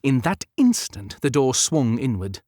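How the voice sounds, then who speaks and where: A man's voice: mid-range voice, sing-song, a little slow, mellow, dry recording, clean studio sound. A man narrating a period romance audiobook as scripted fiction.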